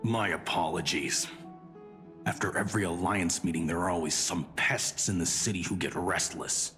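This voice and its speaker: An actor playing a proud, haughty military officer in a fantasy video game, speaking in a formal, pompous way. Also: male voice, sits low, moderate pace, fairly bright tone. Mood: disdainful. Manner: formal, pompous, easily flustered. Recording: long reverb, music underneath.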